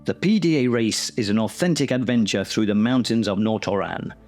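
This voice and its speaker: A man giving measured, scripted documentary narration about a mountain race. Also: fairly low pitch, a little mellow. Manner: measured, informative. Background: music.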